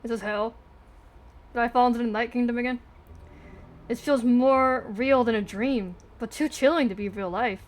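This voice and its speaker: A woman's voice: fairly high pitch, moderate pace, long pauses. A woman livestreaming a video game, mixing informal reactions with lines read aloud from the screen. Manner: informal, swears freely.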